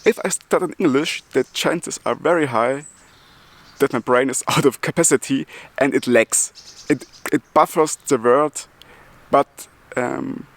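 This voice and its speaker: Slow-talking German man who stutters. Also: mid-range voice, creaky. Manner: stutters with repeated sounds and restarts; chuckles between phrases. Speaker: YouTuber. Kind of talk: casual vlog talk.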